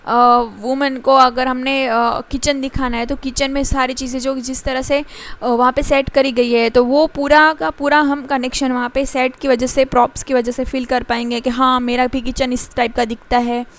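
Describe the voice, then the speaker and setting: A woman teaching a commerce class in Hindi, reading definitions slowly and pausing often with fillers. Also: sits high, flat delivery, a bit bright. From India. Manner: explains in Hindi, reads definitions slowly in English, frequent fillers and ठीक है. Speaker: commerce lecturer. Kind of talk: classroom lecture.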